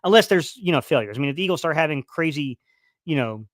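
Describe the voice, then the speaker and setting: A man's voice: a little high, expressive, quick pace, no echo, clean studio sound. A man hosting a casual football live stream, reading the chat aloud and rambling with lots of fillers, his voice starting to wear out. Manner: rambling, many fillers and restarts. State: losing his voice a little. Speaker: sports livestream host.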